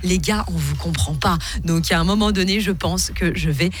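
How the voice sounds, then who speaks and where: A woman co-hosting a morning radio show, chatting in a self-mocking, animated way. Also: on the low side, moderate pace. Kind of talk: casual radio banter.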